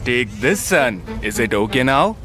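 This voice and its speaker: A man's voice: mid-range voice, sing-song, measured pace, fairly bright tone. A man acting a sly miserly shopkeeper, music behind. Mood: smooth, placating. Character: miserly cheating village dairy shopkeeper, bossy and sly. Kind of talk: animated children's story acting.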